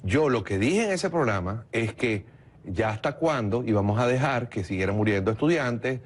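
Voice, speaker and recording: A man's voice: medium pitch, expressive, moderate pace. A man from Venezuela, a political consultant arguing his point insistently in a heated TV interview.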